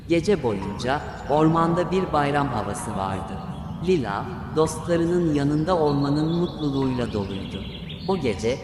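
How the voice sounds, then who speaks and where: A man narrating a scripted children's fairy tale as a storyteller. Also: on the high side. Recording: echoey long reverb, background sounds.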